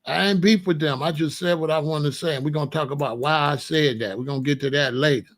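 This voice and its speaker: A man with a gravelly, somewhat high voice talks bluntly through a casual monologue. His is slangy and repetitive, and he keeps asking whether you follow him. He is confrontational and plain-spoken. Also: fast talker. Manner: repetitive, keeps asking you know what I'm saying. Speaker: commentary channel host.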